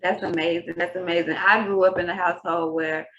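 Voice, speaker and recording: A woman's voice: fairly low pitch, steady tone, a little fast, a bit bright, highs cut off. A woman from Texas, hosting a podcast interview in a casual, rambling way. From Texas, USA. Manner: casual, rambling, laughs easily. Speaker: podcast host.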